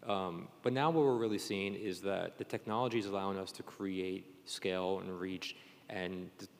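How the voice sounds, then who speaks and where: A man's voice: low-pitched, steady tone, normal speed, vocal fry. A man moderating a panel discussion in a chatty, conversational way. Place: conference session room. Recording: echoey hall, long reverb.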